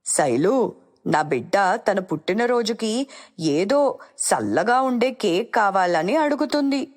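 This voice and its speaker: A woman's voice: mid-range voice, expressive, takes it slowly. A woman playing a poor, anxious mother working as a maid in a storybook tale, pleading and doting. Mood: pleading, worried. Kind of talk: storybook dialogue for a moral tale.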